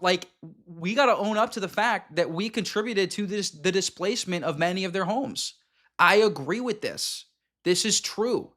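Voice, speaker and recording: High-voiced blunt male streamer. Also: fairly bright tone. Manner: blunt, emphatic, quick asides to viewers. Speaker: streamer commenting on a clip. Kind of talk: reaction stream commentary.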